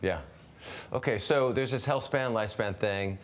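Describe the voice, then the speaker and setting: Deep-voiced man in his fifties hosting. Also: normal speed. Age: 50s. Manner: thinks aloud with restarts and fillers, asks questions. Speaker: webinar host. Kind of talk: conversational interview.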